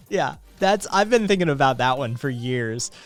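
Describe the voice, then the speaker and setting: A man in his late thirties hosting a live stream, chatting casually with viewers in a rambling, profane way full of fillers. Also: a little high. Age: late 30s. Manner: profane, rambling, laid-back with frequent fillers. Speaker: streamer host.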